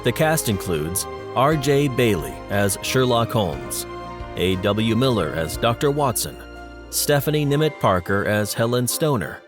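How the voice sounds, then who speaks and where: Male credits announcer, scripted read, lowish pitch, clear music bed. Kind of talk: scripted narration.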